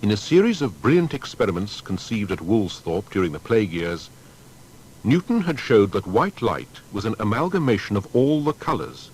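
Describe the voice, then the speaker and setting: A male narrator delivers a scripted biography. His voice is low and somewhat rough, with very varied intonation. The phrasing is formal and storytelling, placing deliberate emphasis on important words. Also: a little mellow, poor audio.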